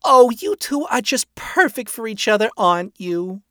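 A narrator playing a flamboyant society hostess, a woman pitched very high with big singsong swoops. She is gushing, cheery and bossy, a theatrical audiobook character. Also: sing-song, moderate pace, a bit bright, no room sound, studio quality. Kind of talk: acted audiobook dialogue.

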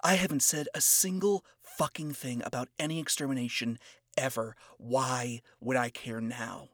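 A man voicing a cold, self-absorbed seductive woman who speaks in a hushed, condescending way. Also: mid-range voice, steady tone, no room sound, clean studio sound, natural voice. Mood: cold scorn. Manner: hushed, breathy, condescending, slow. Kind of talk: reading fan fiction aloud.

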